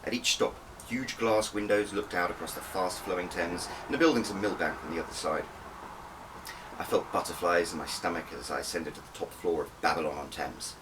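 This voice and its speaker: Male author-narrator: deep, expressive first-person storytelling, recorded slightly off the mic. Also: fairly quick. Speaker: author reading own audiobook. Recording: distant mic with faint background noise.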